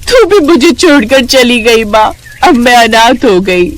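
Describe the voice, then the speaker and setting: महिला की आवाज़ में दुखियारी युवा लड़की, ऊँचा सुर. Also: female voice, a little high, animated, measured pace, pitched above the performer's own voice. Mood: grieving. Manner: plaintive, lamenting. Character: grieving young orphaned street-food seller, weary and devoted daughter. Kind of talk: melodramatic storybook dialogue.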